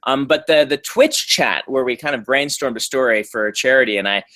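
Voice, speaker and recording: A man, an author being interviewed, giving casual answers at an even, middling pitch, thoughtful with frequent ums and restarts. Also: fairly quick.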